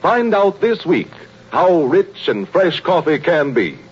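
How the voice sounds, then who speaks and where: A man's voice: a little high, big pitch swings, slow, husky. A man announcing from a script in formal, emphatic 1930s radio style. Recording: muffled, noisy 1930s radio recording.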